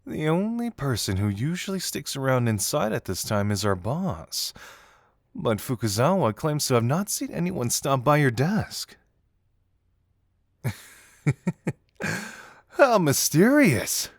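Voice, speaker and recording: A playful young male detective, acted by a man in an intimate audio roleplay. He is teasing and theatrical, musing aloud to the listener with very animated intonation. The sound is dry, with no room around it. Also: mid-range voice, takes it slowly, pauses often, no echo, heavily acted. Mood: teasing, intrigued. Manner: teasing, theatrical, musing hums and soft chuckles.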